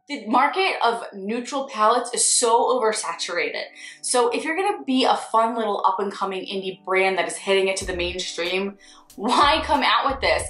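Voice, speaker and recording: A young woman with a Philadelphia accent vlogs her candid opinions, blunt and sarcastic with swearing. Her voice sits at an ordinary pitch for a woman. The room is echoey, with faint music playing behind her. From Northeast Philadelphia. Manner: blunt, sarcastic, swears freely, animated swings. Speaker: beauty vlogger, freelance makeup artist. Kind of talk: candid casual ranting vlog.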